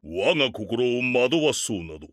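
An actor playing a ruthless, arrogant old martial-arts master in a fighting game, barking taunts and battle cries in a gravelly, husky voice. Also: male voice, very animated, moderate pace, a bit bright. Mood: stern. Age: older. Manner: barks short commands and taunts, booming laugh. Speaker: video game character.

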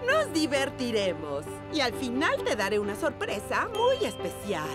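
A woman's voice: a little high, huge pitch swings, moderate pace, over loud music. A woman voicing a fun-loving pug grandmother in a dubbed cartoon, playful and cheery. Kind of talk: Spanish cartoon dub. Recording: cartoon score playing underneath.

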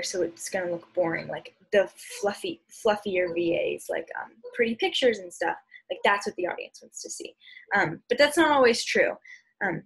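Young American woman with a husky voice. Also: mid-range voice, rough recording. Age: young adult. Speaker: speech and debate instructor. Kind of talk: informal slide presentation. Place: small room at home, indoors. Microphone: computer mic on a video call.

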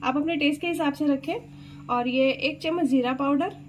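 Woman doing a home cooking video, high voice, chatty step by step, noisy kitchen. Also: moderate pace. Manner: chatty, explains each step to viewers. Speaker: cooking channel host.

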